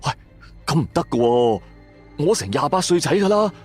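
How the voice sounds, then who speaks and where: A man's voice: a little high, big pitch swings, moderate pace, darkish tone, soft background music, voice pushed up. A man playing a bold, blunt man in his twenties in a Cantonese audio drama, bantering colloquially. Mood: flustered, pleased. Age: 20s.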